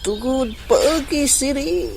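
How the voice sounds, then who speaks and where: A woman playing a calm, mysterious otherworldly being who hands out quests in a comic audio drama, speaking solemnly. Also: high voice, slow, noisy background. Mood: instructing.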